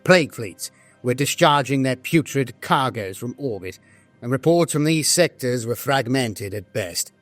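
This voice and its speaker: A grave staff officer from a far-future war audio drama, played by a man, with a mid-pitched voice. He delivers journals and briefings in a formal, solemn, measured way. The sound is close and dry, with faint music underneath. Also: dry recording, close to an everyday voice. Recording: music behind a studio voice.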